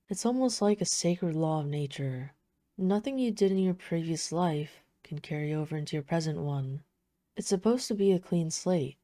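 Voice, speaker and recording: A woman playing a poised, flirtatious vampire, speaking softly and teasingly in an intimate audio roleplay. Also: low voice, no echo, studio quality. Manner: soft-spoken, teasing, smooth formal phrasing. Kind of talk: intimate scripted audio roleplay addressed to the listener.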